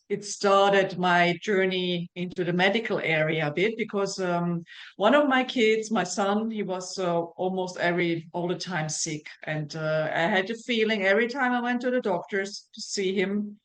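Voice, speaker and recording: A middle-aged woman from Germany with a German accent, a naturopath guest speaking in a casual interview with frequent fillers and restarts. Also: medium pitch. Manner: hesitant, many fillers and restarts.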